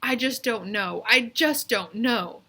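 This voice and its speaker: Woman putting on an earnest, high-pitched indecisive schoolboy. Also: takes it slowly, sharp tone, full character voice. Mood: agonized, unsure. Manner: griping, muttering, emphatic. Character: indecisive, earnest schoolboy agonizing over a big choice.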